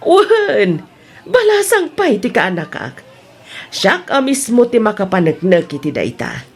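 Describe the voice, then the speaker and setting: A woman's voice: mid-range voice, sing-song, measured pace, husky, exaggerated voice. A woman playing a teasing, gossipy aunt in an Ilocano audio drama. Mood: teasing, amused. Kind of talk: Ilocano radio-style drama acting.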